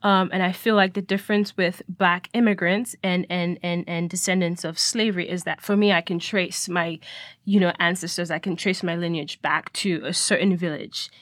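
Podcast guest: woman raised in Nigeria, lower voice, dry close sound, thoughtful, emphatic delivery. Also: fairly even intonation, moderate pace, a bit bright, dry recording. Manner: reflective, emphatic, many fillers. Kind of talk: conversational discussion.

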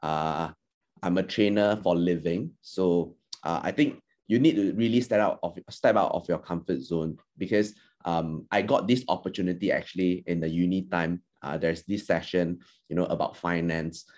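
A man's voice: low-pitched, moderate pace, darkish tone. A man whose first language is Chinese, an alumni panellist and trainer, giving earnest, encouraging advice with many fillers and restarts on a video call. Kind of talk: panel discussion answer.

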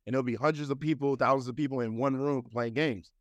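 A man's voice: mid-range voice, fairly even intonation, fast talker, no room sound, muffled recording. A man in his thirties from the United States talks as an interview guest in a mid-range voice. He rambles and circles back, full of "you know" and "like." The sound is close and dry, with no room around him. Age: 30s. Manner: loose, rambling, heavy with fillers like "you know" and "like". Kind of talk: casual conversational interview.

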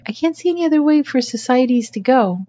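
A woman's voice: high-pitched, animated, quick pace, lo-fi sound, a crowd in the background. A woman co-hosting a podcast in casual, agreeable conversation. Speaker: podcast co-host.

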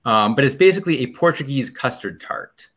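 A man's voice: medium pitch, expressive, a little fast, fairly bright tone, husky. A man as a podcast guest in casual chat, rambling about a trip with many ums and restarts.

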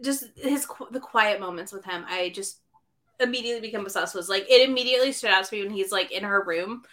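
A woman co-hosting a podcast in casual book chat, animated and full of "like" fillers, often acting out characters' lines. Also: medium pitch, fast talker. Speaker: podcast co-host.

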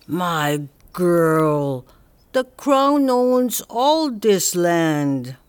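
Actress doing a slow steady older native woman, a bit low, dry sound, audio drama. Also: smooth, heavily acted. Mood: calm. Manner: slow, steady, gently teasing.

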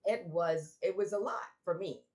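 A woman from Trinidad, a guest telling her life story in a relaxed, reflective way with many restarts. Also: on the low side, moderate pace. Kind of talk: casual interview conversation.

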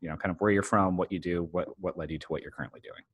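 Deep-voiced man hosting a podcast. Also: fairly even intonation, very fast, husky, highs cut off. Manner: hesitant, stumbling over words. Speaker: podcast host. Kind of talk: casual podcast interview.

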